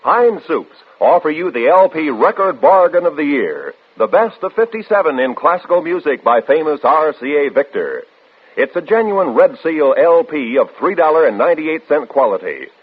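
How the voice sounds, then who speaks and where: A man's voice: on the high side, very animated, moderate pace, slightly rough. An American man reading a scripted 1950s radio commercial in an emphatic, salesmanlike way. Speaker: commercial announcer. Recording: tinny old radio broadcast.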